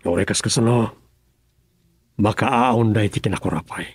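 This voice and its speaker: An actor in an Ilocano radio drama playing an earnest male governor who speaks calmly and sincerely. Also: lowish voice, normal speed, slightly rough, no echo, clean studio sound. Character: earnest adult male governor devoted to public service.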